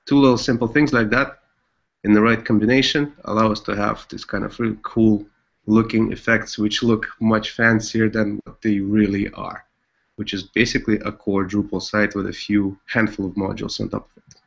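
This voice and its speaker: A man's voice: lowish voice, little pitch movement, normal speed, gravelly, lo-fi sound. A man from Canada giving an informal online presentation as a developer. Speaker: front-end developer presenting a project.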